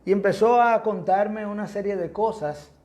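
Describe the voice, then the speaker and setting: A man's voice: high voice, moderate pace, a little mellow. A man, a pastor with a Dominican accent, preaching a sermon in an emphatic, repetitive cadence. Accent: Dominican Spanish. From Dominican Republic.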